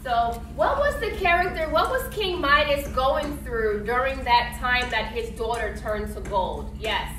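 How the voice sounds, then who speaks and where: Woman, elementary teacher, high bright voice, encouraging and didactic, questioning a class, echoey classroom. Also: animated, measured pace, few pauses. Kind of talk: classroom read-aloud discussion, questioning pupils. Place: indoor classroom. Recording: echoey, noisy classroom from a distance.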